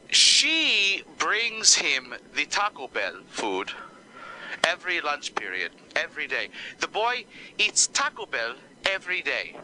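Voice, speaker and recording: A man's voice: bright, forceful tone, slight background noise, exaggerated voice, low-quality recording. Man doing a fed-up female teacher. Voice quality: low voice for a woman. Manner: complaining, swears, broken grammar. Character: exasperated female Spanish teacher, adult. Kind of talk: one-man comedy skit.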